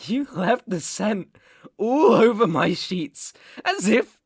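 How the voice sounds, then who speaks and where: Breathy, menacing British mafia boss, acted by a man. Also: high voice, expressive, takes it slowly, dry recording, clean studio sound, exaggerated voice. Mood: anguished, bitter. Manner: possessive, volatile, swinging from whispers to outbursts. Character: unstable, obsessive, menacing male mafia boss, jilted lover. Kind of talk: dramatic ASMR roleplay monologue.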